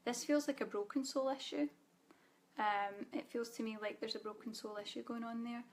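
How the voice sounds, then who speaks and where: A Scottish woman leading a live online spiritual training, speaking softly and calmly, guiding listeners with frequent ums. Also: a little high, normal speed. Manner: soft guided-meditation delivery with fillers. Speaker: spiritual teacher.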